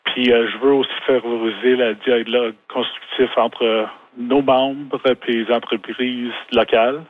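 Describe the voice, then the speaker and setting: A Canadian business owner answers questions over the phone in a halting way, often restarting his words. The line is thin and tinny, as if heard through a handset. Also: medium pitch, little pitch movement, slight background noise. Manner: halting, many restarts and fillers. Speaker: interview guest, business owner. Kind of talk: phone interview. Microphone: telephone.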